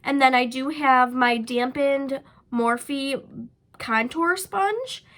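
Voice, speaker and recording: A woman's voice: sits high, takes it slowly, fairly bright tone. A young woman with a stuffy, nasal voice from a cold, chatting casually through a makeup tutorial with frequent ums and likes. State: sick with a stuffy nose. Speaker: beauty vlogger.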